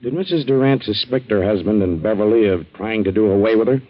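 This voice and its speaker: Low-voiced, probing police detective, played by a man. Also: fast, close to an everyday voice. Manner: clipped, questioning. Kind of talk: 1940s radio acting. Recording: muffled old 1940s radio recording.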